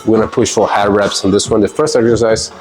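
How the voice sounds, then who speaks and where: A man's voice: lowish voice, quick pace, soft background music, poor audio. A man with a stuffy, congested voice talking casually through a workout, rambling with fillers. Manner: relaxed, rambling, with fillers and laughs. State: congested from a cold. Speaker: fitness vlogger. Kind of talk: casual workout vlog talk.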